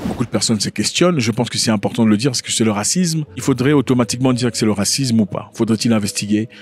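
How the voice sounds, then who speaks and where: Homme commentant, français non maternel. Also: male voice, mid-range voice. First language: not French. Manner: rhetorical questions, hesitant restarts. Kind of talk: casual video commentary.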